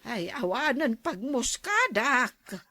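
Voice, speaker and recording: A woman playing a concerned older village mother with a husky voice in a Filipino audio drama. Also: on the high side, very animated, slow pace, sharp tone, no room sound, studio quality, exaggerated voice.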